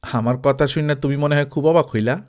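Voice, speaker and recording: A man voicing a wealthy, shady village trader in an audiobook story, brazen, calm and domineering, talking colloquially and justifying himself with rhetorical questions. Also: mid-range voice, fast talker, close to an everyday voice. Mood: commanding, smug.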